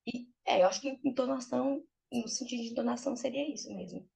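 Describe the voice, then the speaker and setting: A woman's voice: a little high, quick pace, gravelly, slightly rough. A young adult woman with a Brazilian accent, an English teacher explaining informally on a video call, often posing rhetorical questions and acting out examples. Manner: animated, uses rhetorical questions and acted-out examples. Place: small plain room indoors. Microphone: video call, laptop close up.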